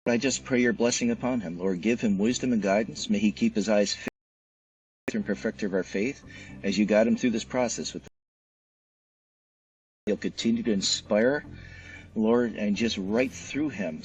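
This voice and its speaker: A man's voice: medium pitch, rough recording. A man speaking conversationally as an author and interview guest. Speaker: novelist guest.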